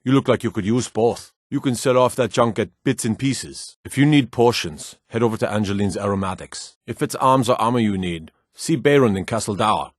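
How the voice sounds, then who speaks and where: An actor playing a gruff, proud Nord guard in a fantasy video game, blunt and curt with folksy rustic phrasing. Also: male voice, on the low side, fairly quick, hardly any pauses, dry recording, clean studio sound, natural voice. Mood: blunt, matter-of-fact.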